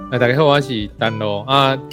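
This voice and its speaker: A man with a Taiwanese accent sharing personal impressions as a discussion guest, in a halting, informal, wordy way. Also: mid-range voice, over music.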